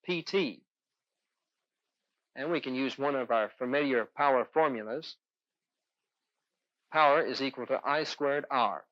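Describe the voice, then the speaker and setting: A man's voice: medium pitch, a bit bright, dry recording. A man lecturing as an electronics instructor in a 1970s instructional film, explaining methodically step by step. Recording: dull, dry 1970s film soundtrack.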